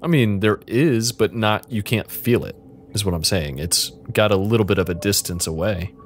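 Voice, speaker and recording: A low-pitched man runs a text adventure as game master, speaking to the players in the second person. His delivery is casual, deadpan and playful, recorded with some room noise behind him. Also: moderate pace. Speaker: game master and host of an interactive fiction podcast.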